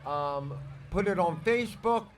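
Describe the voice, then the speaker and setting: A man's voice: high-pitched, expressive, unhurried, a little hiss or hum. A man hosting a casual, unscripted podcast, chatting and calling guests in to ask them questions. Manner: chatty, interviews guests, calls out to people. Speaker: podcast host. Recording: outdoor poolside podcast.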